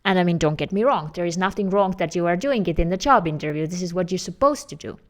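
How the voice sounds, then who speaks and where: A woman's voice: fairly low pitch, fast talker. A woman in her thirties with an Estonian accent, a job search coach talking straight to camera in an earnest, explanatory way. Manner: earnest, explanatory, with non-native phrasing. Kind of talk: direct-to-camera advice video.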